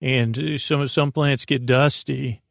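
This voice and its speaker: A man with a creaky voice hosting a sleep podcast, telling meandering stories in a halting, digressive, self-deprecating way. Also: medium pitch, fairly even intonation, no echo, clean studio sound. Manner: halting, digressive, full of false starts and trailing tangents; self-deprecating, gently absurd musing. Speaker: podcast host.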